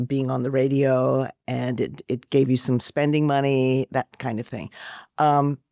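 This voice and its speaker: A woman's voice: a bass voice, a little mellow, no room sound, studio quality. A woman journalist conducting a conversational interview, posing questions and reflecting on the answers.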